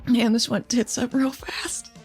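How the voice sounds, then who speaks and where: Plucky, affectionate female adventurer for a tabletop game, played by a woman, higher voice, informal and encouraging. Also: steady tone, measured pace, darkish tone, slightly rough, soft background music, full character voice. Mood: determined, nervous. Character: plucky, affectionate woman leader psyching up her partner.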